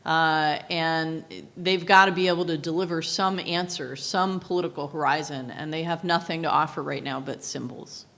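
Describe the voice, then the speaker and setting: A woman speaking as a panel expert, giving policy analysis in formal, measured phrases with deliberate breaks. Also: low voice, steady tone, fairly bright tone.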